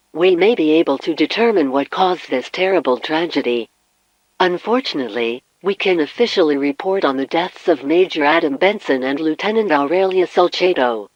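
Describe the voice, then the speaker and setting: Male actor as grave emergency-broadcast announcer for apocalypse survivors. Formal, measured read, dry and dull-sounding recording. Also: on the high side, dry recording, natural voice. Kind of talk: scripted emergency news broadcast. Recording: dull, muffled lo-fi broadcast audio.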